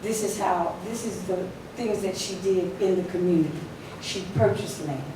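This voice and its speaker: A woman with a low voice speaks at a podium in a ceremony, her voice a little weaker than usual. She tells her story emphatically, with a few fillers. She is picked up from a distance in a heavily echoing hall, with noise underneath. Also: moderate pace. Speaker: society spokesperson. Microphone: podium microphone. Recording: echoey hall from far off, noisy.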